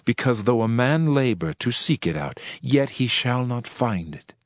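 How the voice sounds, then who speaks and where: A man playing a solemn, world-weary preacher in a dramatized scripture reading, with measured, archaic oratory. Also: mid-range voice, animated, no echo, clean studio sound, close to an everyday voice. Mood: solemn, reflective. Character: male preacher, solemn world-weary sage pondering wisdom and vanity. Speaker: narrator of a dramatized audio Bible. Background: music.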